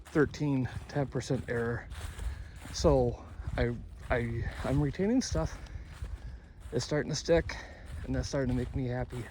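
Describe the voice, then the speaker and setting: A man records a casual hobby vlog in an average-pitched voice. He rambles candidly, pausing and rewording as he goes. Clear ambient noise sits behind his speech. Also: darkish tone. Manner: halting, self-correcting, candid. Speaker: amateur radio hobby vlogger.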